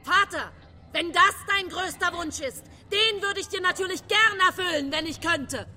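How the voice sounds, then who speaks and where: A woman's voice: extremely high pitch, normal speed, pushed and bright, exaggerated voice. An actress playing a jealous, self-pitying woman's inner voice in a radio drama, fretful and insinuating. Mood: sweetly false.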